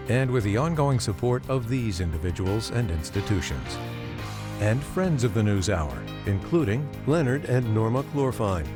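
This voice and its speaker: A man announcer reading the program's funding credits in a scripted, lively way. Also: lowish voice.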